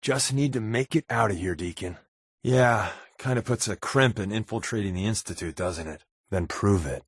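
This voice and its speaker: A man playing a wry, sarcastic spy companion in a video game, with a deadpan, flippant delivery. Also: on the low side, normal speed, husky, no echo, studio quality. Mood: dry, sardonic.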